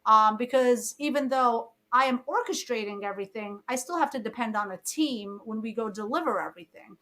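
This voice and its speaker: A woman's voice: fairly high pitch, brisk. A woman, a podcast guest telling stories about her life and acting out the lines of the people in them. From born in Egypt, raised in Brooklyn. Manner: lively storyteller who acts out quoted lines. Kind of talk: casual interview chat.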